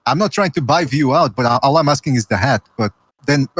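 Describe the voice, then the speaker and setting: Husky-voiced man, dry and close. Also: mid-range voice, lively intonation, fairly quick, no room sound. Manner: curious, joking. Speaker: podcast co-host. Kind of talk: casual podcast chat.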